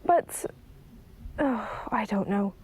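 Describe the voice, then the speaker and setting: An actress playing a hurt, reproachful younger sister in naturalistic film dialogue, terse and guarded. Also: female voice, medium pitch, animated, drawn-out words, darkish tone, rough recording. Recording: outdoor location noise behind dialogue.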